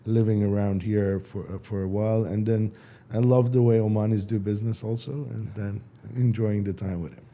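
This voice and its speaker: A man, a startup founder answering interview questions casually in halting, with many restarts. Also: on the low side, steady tone, dark tone. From Iran. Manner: halting, restarts, non-native phrasing.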